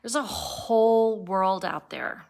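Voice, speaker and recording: A woman's voice: mid-range voice, takes it slowly, vocal fry, a little mellow. A woman in her sixties, tired and a little spacey, rambling casually in a daily video diary and laughing at her own slips. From born in Turkey, raised abroad, lives in Colorado. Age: 60s. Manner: rambling, self-correcting, laughs at herself. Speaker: vlogger.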